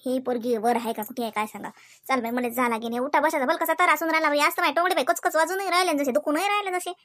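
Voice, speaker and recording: A high, bright cartoon village aunt acted by a woman, older, devout and fussy. She rambles affectionately and grumbles in a rustic Varhadi Marathi accent. The sound is dry and close. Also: fast, no room sound. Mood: grumbling, fussy. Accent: Varhadi Marathi from Vidarbha. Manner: colloquial, rambling, coaxing and grumbling. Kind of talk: comedy cartoon dialogue.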